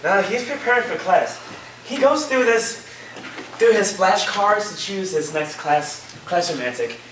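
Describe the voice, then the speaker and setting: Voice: mid-range voice, expressive, a little slow, a bit bright. An actor playing an easygoing, enthusiastic college student in a staged play reading, casual and reassuring. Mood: casual, curious. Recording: echoey room from far off, noisy.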